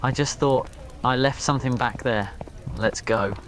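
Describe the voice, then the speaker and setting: A man's voice: medium pitch, a little slow, a bit bright, natural voice. A man voicing an irritable, haughty teenage wizard who mutters and grumbles in an audio story. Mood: irritated, grumbling.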